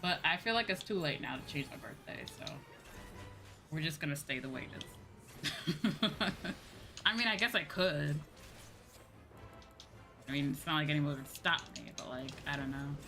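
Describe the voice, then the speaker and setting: A woman's voice: low-pitched, unhurried, long pauses, sharp tone. A woman livestreaming a game, chatting casually and thinking aloud in a rambling, informal way. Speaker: livestreamer playing an online game. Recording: music behind the voice. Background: game music.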